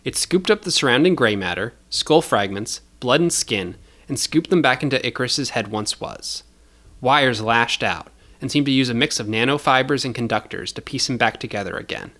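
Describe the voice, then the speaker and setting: A man's voice: mid-range voice, expressive, normal speed, pushed and bright. A man narrating scripted fiction as a storyteller, in a dramatic style.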